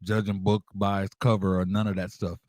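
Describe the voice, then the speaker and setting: A man's voice: sits low, flat delivery, a little fast, a little mellow, dry recording, clean studio sound. A man hosting a casual radio interview in an informal, slangy way with appreciative interjections. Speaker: radio show host.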